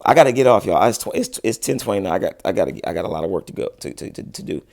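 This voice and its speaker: A laid-back man in his thirties, hosting a casual livestream and answering viewers in relaxed slang. His voice sits low and gravelly and is wearing thin, as he says he is losing it. The sound is close and dry, with no room echo. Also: racing. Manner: laid-back slangy talk, frequent restarts. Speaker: livestream host, musician.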